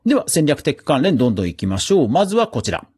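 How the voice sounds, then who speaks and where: A man's voice: mid-range voice, very animated, racing, a little mellow, soft background music. A man hosting a podcast, giving chatty, casual commentary on the news in a conversational way. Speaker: podcast host.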